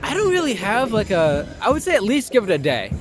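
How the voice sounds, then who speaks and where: A young American man talking casually and candidly as an interview guest, halting and informal, leaning on "like" and the odd swear word. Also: high-pitched, huge pitch swings. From Long Island, New York, US. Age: young adult.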